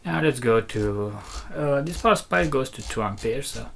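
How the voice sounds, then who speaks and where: A presenter giving an unscripted technical demonstration, explaining step by step in a hesitant, halting way with short fragments. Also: mid-range voice, expressive, gravelly, fairly bright tone, slight background noise. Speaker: presenter demonstrating audio equipment.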